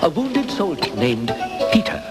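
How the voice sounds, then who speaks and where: A man's voice: a little high, huge pitch swings, unhurried. A man narrating a scripted fairy tale as a dramatic storyteller. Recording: loud music behind.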